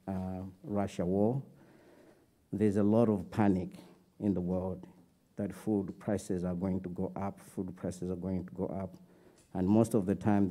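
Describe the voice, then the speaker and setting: Deep, very mellow Malawian man. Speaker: audience questioner, graduate student. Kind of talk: prepared audience question. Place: auditorium audience.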